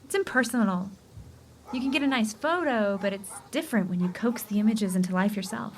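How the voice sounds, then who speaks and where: An actress playing a stubborn, warm single woman lawyer in a romantic comedy radio play. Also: mid-range voice, brisk, slight background noise, close to an everyday voice. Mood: gently instructive. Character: warm, stubborn single woman lawyer who loves old-fashioned photography.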